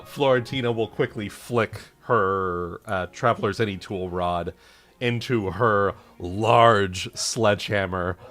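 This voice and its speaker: A man's voice: lowish voice, a bit bright. A man playing a tabletop game, casually describing his character's moves. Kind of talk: casual roleplay chat.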